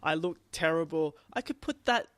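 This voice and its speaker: A woman's voice: deep voice, lively intonation, normal speed, dry recording. A woman co-hosting a podcast in casual conversation, telling things in a rambling way. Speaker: podcast co-host. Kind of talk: casual chat.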